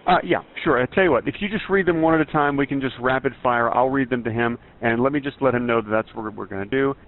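A man's voice: mid-range voice, fast. A middle-aged man with an American accent making a polite, straight-faced phone relay on a headset, in a muffled recording. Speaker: prank caller posing as translator. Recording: muffled, band-limited call recording.

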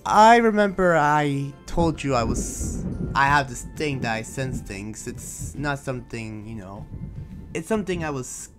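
A man's voice: mid-range voice, animated, a little slow, close to an everyday voice. A man playing a friendly, reassuring but cautious townsman in an improvised anime game roleplay, rambling hesitantly in conversation. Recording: loud background music. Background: music.

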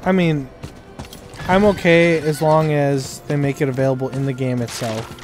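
A man's voice: a little high, fairly even intonation, moderate pace, a little mellow. A man playing a co-op game and chatting casually. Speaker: co-op gamer. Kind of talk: casual chat while gaming. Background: faint game music.

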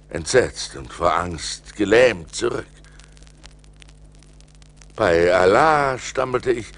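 An actor playing a shipwrecked sailor who recounts his perils in a husky, theatrical, suspenseful way, in an audio drama from a vinyl record. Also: deep voice, a little hiss or hum, full character voice. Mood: horrified. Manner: dramatic, suspenseful delivery with deliberate pauses. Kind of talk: theatrical radio-play storytelling narration.

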